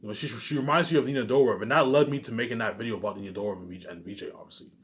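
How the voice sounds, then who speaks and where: A man's voice: lowish voice, rapid-fire. A man giving a casual, unscripted monologue as a vlogger, speaking emphatically and slangily, repeating himself and letting phrases trail off into asides. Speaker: solo online vlogger.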